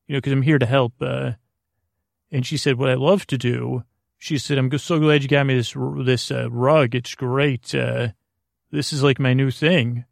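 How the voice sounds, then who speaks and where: Male podcast host, mid-pitched with heavy vocal fry, rambling and self-deprecating sleep-time storytelling, halting delivery. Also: fairly even intonation, no room sound, clean studio sound. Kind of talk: rambling, meandering bedtime monologue.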